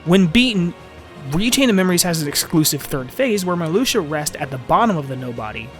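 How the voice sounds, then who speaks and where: A man's voice: a little high, expressive, a little fast, soft background music. A man narrating an informal scripted fan video essay about a game, with emphatic, swinging phrasing.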